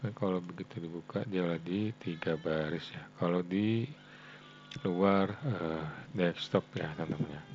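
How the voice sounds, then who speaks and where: A man's voice: low voice, unhurried, a little mellow. A man thinking aloud through a casual screen-share tutorial, addressing his viewers informally as friends. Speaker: web design tutorial host. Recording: dull lo-fi mic, background hum.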